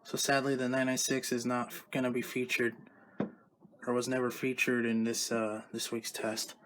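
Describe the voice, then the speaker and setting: A man hosting a home vlog about weather radio tests, talking casually and loosely with halting restarts. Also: medium pitch, flat delivery, a bit bright, slight background noise, poor audio. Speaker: YouTube host recording radio tests.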